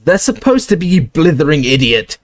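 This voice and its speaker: A man's voice: mid-range voice, animated, moderate pace, darkish tone, dry recording, natural voice. A man voicing a stern, commanding older female professor with a clipped, imperious manner. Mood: shouting.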